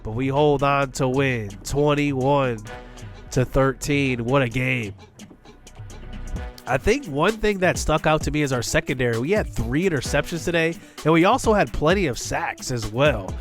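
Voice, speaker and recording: A man with a congested, stuffed-up voice calls a football video game play by play as a gaming channel host. His pitch sits in an ordinary middle range, and he shouts on the big plays. Quiet music plays faintly behind him. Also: expressive, a little slow. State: congested from illness.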